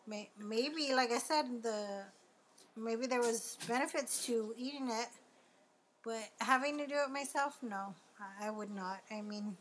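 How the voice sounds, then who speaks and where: A woman in casual podcast talk, speaking plainly and hesitantly with many pauses. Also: fairly high pitch, a little hiss or hum, poor audio.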